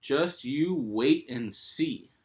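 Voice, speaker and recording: A man voicing a gentle, reassuring mother pig in a storybook, explaining things calmly and patiently. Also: medium pitch, drawn-out words.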